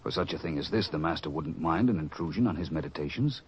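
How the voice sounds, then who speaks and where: A man's voice: rumbling low, expressive, fast, slight background noise. A man playing a blunt, easily indignant disciple in a radio drama, with an emphatic delivery. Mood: urgent, kindly. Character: blunt, indignant disciple quick to help others. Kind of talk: old-fashioned radio drama acting. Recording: dull lo-fi radio drama recording.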